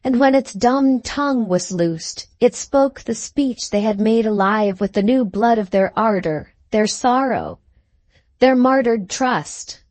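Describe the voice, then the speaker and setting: A woman's voice: fairly high pitch, expressive, measured pace, a little mellow, dry recording. A woman voicing a fervent, visionary male scholar in an audiobook, speaking in solemn, lofty oratory. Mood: fervent, exalted. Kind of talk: literary audiobook character reading.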